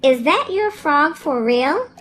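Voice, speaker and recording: A woman's voice: high voice, sing-song, slow, a bit bright, faint music behind, pitched above the performer's own voice, heavily acted. A woman playing a helpful, folksy lady on a donkey in a storybook, speaking animatedly. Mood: eager.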